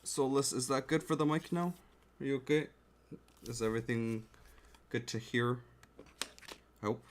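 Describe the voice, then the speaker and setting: A man's voice: medium pitch, normal speed, slight background noise. A man livestreaming as he builds a keyboard, chatting casually and checking in with his viewers over a desk mic. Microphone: desk mic close to the keyboard. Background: faint keyboard clicks and typing.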